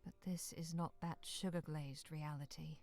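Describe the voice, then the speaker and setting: A woman's voice: sits low, fairly even intonation, moderate pace, vocal fry, a little mellow, soft background music. A woman narrating a fantasy visual novel game in a solemn, literary manner as its storyteller.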